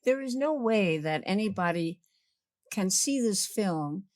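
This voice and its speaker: A woman's voice: mid-range voice, expressive, unhurried, a little mellow, no room sound, studio quality. A woman, a director interviewing a fellow filmmaker on a theater stage, chiming in with admiring remarks. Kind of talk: Q&A conversation on stage.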